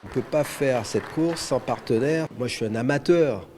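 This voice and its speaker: A man's voice: mid-range voice, normal speed, very noisy. A man from Guadeloupe, an amateur sailor, speaking in a press interview with many hesitations and restarts.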